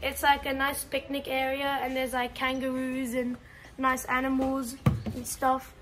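A woman's voice: high-pitched, completely flat delivery, a little slow. A young girl of about ten to twelve, casually presenting a vlog outdoors to a phone camera. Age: child, about ten to twelve. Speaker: vlog host. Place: outdoors in a park. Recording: noisy outdoor phone recording.

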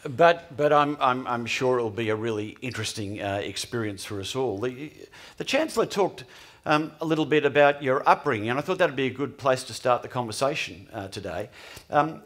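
A man's voice: mid-range voice, animated, a little fast, a bit bright. A middle-aged man hosting an on-stage interview, asking questions wryly with hesitant restarts. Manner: wry, joking, with hesitant restarts. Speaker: host interviewer. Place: large hall on stage.

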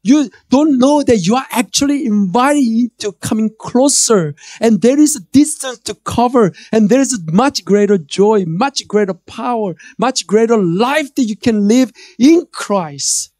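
A man's voice: very high-pitched, expressive, unhurried, hardly any pauses, a little mellow, dry recording. A man in his thirties with a Korean accent, preaching a sermon from a church stage into a handheld mic, joking at times and building to emphatic calls. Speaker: pastor. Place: church stage before a screen.

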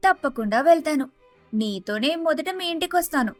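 Woman doing a high pitched concerned friend in a telugu cartoon, nosy but earnest, faint music. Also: lively intonation, normal speed. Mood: friendly. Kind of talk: animated moral story dubbing.

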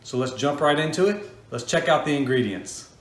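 A man's voice: fairly high pitch, animated, normal speed. A middle-aged man with an American accent, casually talking viewers through a recipe as host of a home cooking video. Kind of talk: casual how-to cooking narration. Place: home kitchen, indoors. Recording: echoey kitchen room.

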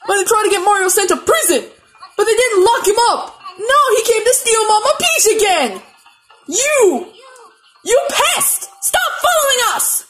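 Very high bratty little monster prince. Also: poor audio. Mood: indignant. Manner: childish, indignant, declarative outbursts. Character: bratty young monster prince, small, defiant, gullible. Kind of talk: video game cutscene acting. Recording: laptop speaker re-recorded, music behind.

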